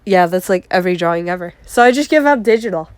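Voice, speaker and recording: A congested woman telling casual, animated stories about her art mishaps as a vlogger. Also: on the low side, fast talker, smooth, no echo. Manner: chatty, animated, acts out her own exclamations. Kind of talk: casual story time.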